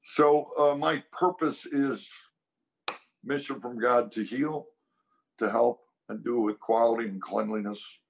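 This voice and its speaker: A man's voice: medium pitch, measured pace, creaky, rough recording. Earnest man giving personal testimony. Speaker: cannabis farm brand founder, panel guest.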